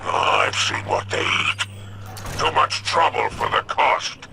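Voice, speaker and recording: An actor playing a greedy, cynical alien crime boss with a gravelly, sneering drawl in a video game cutscene. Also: male voice, medium pitch, wildly swooping pitch, takes it slowly, very noisy, heavily acted. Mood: scornful, wary.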